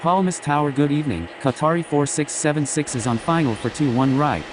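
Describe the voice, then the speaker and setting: Man making noisy aviation radio calls. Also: brisk. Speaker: airline pilot on air traffic radio. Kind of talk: scripted radio phraseology. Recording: loud noisy rumble and hiss.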